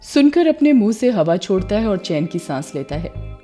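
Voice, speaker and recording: A woman's voice: medium pitch, big pitch swings, moderate pace, mellow, soft background music. A woman narrating a scripted cartoon story.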